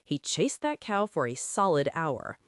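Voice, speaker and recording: A woman's voice: low voice, sing-song, measured pace, no echo, clean studio sound. A woman voicing a big, guileless young male ranch hand in an audio drama, chatty, eager and cheerful. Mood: gleeful storytelling.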